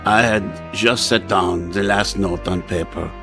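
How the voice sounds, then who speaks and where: An actor playing a haunted, rapturous composer, delivering a poetic, theatrical radio play monologue. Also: male voice, deep voice, steady tone, a little slow, gravelly, loud music behind the voice. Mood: wistful, rapturous. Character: haunted, rapturous male composer narrating his torment. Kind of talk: dramatic first-person radio play monologue.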